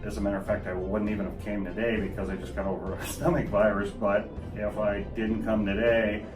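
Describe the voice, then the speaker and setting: A man in his fifties, a martial arts student, answering an interview in a halting, self-deprecating way. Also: fairly low pitch, level tone. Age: 50s. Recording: roomy, distant mic, music underneath.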